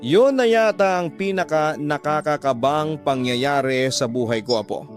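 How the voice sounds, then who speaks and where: A man's voice: fairly high pitch, a little slow, lightly acted. A man voicing a nostalgic old grandmother recounting her youth in a radio drama. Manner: reminiscing. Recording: music bed under the voice.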